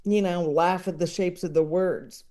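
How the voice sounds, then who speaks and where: A woman chatting casually as a podcast guest, enthusiastic and digressive, often halting as she works out her thought. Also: lowish voice, fairly even intonation, warm tone. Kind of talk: casual chat about books and comedy.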